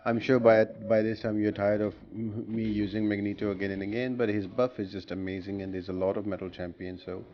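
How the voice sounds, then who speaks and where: Low-voiced man narrating a game guide. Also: level tone, brisk, few pauses, lo-fi sound. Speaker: gaming guide video host. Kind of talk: casual walkthrough commentary over gameplay. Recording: clearly noisy voice-over with background ambience.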